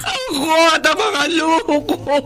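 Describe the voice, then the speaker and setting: A woman's voice: very high voice, animated, a little slow, a bit bright, exaggerated voice. A woman acting in a Filipino radio drama as an emotional, meddling but well-meaning neighbour wife. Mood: distraught, remorseful.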